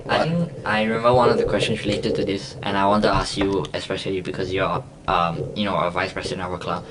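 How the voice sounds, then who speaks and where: Lively young man, lowish voice. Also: quick pace, noisy background. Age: young adult. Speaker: podcast host. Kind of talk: casual podcast chat.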